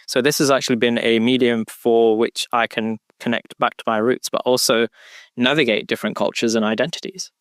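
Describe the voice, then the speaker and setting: A young man in a relaxed student podcast chat. He talks haltingly, filling gaps with ums. His voice is smooth, recorded close and dry. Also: mid-range voice, no room sound. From England. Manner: hesitant, many ums. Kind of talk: casual discussion.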